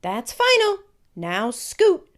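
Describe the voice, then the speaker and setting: A woman's voice: on the high side, wildly swooping pitch, takes it slowly, voice pushed up, heavily acted. A woman voicing a no-nonsense, loving mother who talks firmly to her children in a storybook. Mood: stern. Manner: firm, admonishing. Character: firm, loving mother of young boys, no-nonsense.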